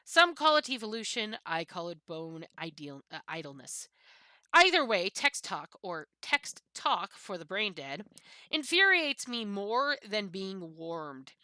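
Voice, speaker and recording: A woman plays a pampered, misanthropic cat reading its musings from a book. The delivery swoops with pompous disdain and theatrical emphasis. The tone is bright and sharp, in a dry recording. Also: medium pitch, sing-song, a little slow, no echo. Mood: indignant. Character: haughty misanthropic pampered cat, sneering at common people.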